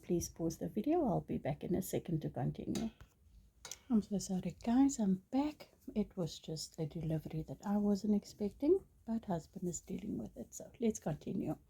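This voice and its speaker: A woman's voice: medium pitch, vocal fry, warm tone, slightly rough, poor audio. A woman whose first language is Afrikaans, teaching crochet in a casual, chatty way with many ums and apologetic restarts. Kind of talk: casual tutorial talk.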